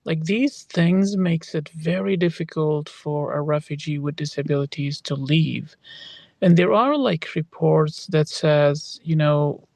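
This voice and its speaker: A man's voice: fairly high pitch, steady tone, unhurried, vocal fry, a little mellow, no echo. A young man from Iraq, a doctoral student, answering interview questions hesitantly with many fillers and restarts. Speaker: interviewee, PhD student in social work.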